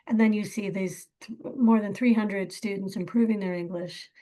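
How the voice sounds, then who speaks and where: A woman's voice: medium pitch, steady tone, moderate pace, warm tone. A woman giving an informal, appreciative presentation to volunteers as the head of a nonprofit. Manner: appreciative, thanking. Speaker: nonprofit chief executive presenting.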